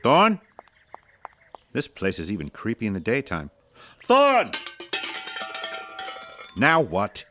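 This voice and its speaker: A man's voice: lowish voice, huge pitch swings, slow pace, long pauses, pushed and bright, a little hiss or hum, heavily acted. A man playing a plucky, wary young amateur sleuth with breezy old-time film talk in an audio drama. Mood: wary, muttering. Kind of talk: audio drama re-creation of 1930s mystery film.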